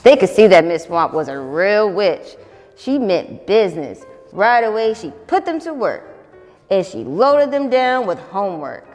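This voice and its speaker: A young woman in her twenties, a volunteer reading a children's picture book aloud in an expressive, animated way, in a roomy space with faint music. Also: mid-range voice, measured pace. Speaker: volunteer storybook reader.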